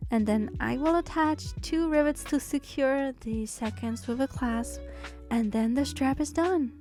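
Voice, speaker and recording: A woman from Germany narrates a sewing tutorial in a casual, chatty voice-over. Her voice sits a little high, and she stumbles into small restarts and pokes fun at herself. Quiet music plays underneath. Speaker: sewing pattern designer. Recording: soft background music.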